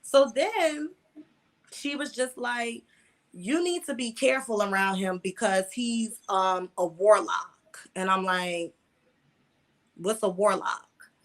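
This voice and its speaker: A woman's voice: mid-range voice, takes it slowly. A woman in her mid twenties with an American accent, telling personal stories candidly as an interview guest, in colloquial language with repeated words for emphasis. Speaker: interview guest, online video creator.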